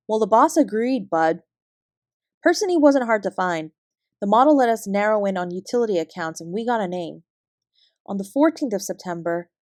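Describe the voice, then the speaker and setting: A woman with a Canadian accent playing a guarded, weary fraud investigator, giving a clipped, measured account in an audio drama. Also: mid-range voice, a little mellow, no echo, studio quality, lightly acted. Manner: measured, clipped report-like delivery. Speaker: investigator being interviewed.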